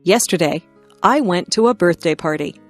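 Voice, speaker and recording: Low-pitched woman reading simply, dry sound. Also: expressive, normal speed, no echo, soft background music. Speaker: narrator of an English listening lesson. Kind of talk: scripted narration, read-aloud beginner stories.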